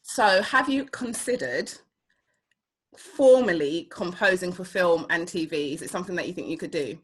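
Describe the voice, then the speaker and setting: A woman's voice: lowish voice, expressive, normal speed, husky. A woman hosting a casual interview, informal, playful and effusive as she puts questions to her guests. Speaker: show host, interviewer.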